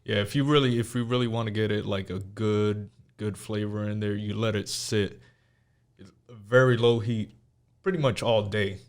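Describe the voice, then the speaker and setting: A man's voice: lowish voice, fairly even intonation, moderate pace. A man in his thirties from Puerto Rico, a guest talking in a laid-back, matter-of-fact way. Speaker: guest, Marine veteran. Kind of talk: casual interview chat.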